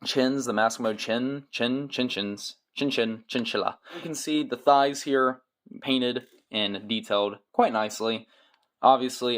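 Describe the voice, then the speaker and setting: A man's voice: on the low side, a bit bright. Man giving a casual toy review. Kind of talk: casual product review commentary.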